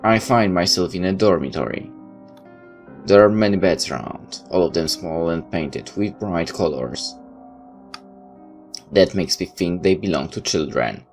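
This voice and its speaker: A man's voice: low-pitched, animated, measured pace. A man reading a visual novel aloud in first person, sometimes stepping out of the narration for casual comments. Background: background music.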